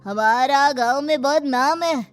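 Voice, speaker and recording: A woman's voice: high voice, unhurried, soft background music. A woman playing a stern, reproachful village uncle who guards family honour in an audio moral story.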